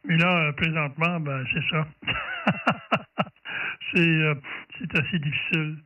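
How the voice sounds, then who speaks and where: A man with a Quebec French accent chatting casually as a ham radio operator, halting as he goes and often clearing his throat. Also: fairly high pitch, huge pitch swings. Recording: narrow tinny sound, distant from mic.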